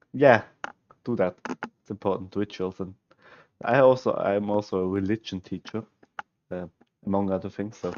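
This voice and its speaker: A young man from Switzerland, German his first language, speaks English with a Swiss German accent. He thinks aloud in a halting, musing way, correcting himself as he goes, his voice sitting a little low. The headset audio sounds dull and lo-fi, with faint hiss underneath. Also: darkish tone. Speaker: chess streamer. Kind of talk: thinking aloud while solving chess puzzles, chatting with viewers. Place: small room at home, indoors.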